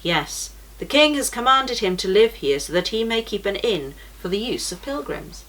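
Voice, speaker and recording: A woman voicing a steady grown man who guides pilgrims, speaking calmly and reassuringly. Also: mid-range voice, normal speed, poor audio. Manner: calm, reassuring.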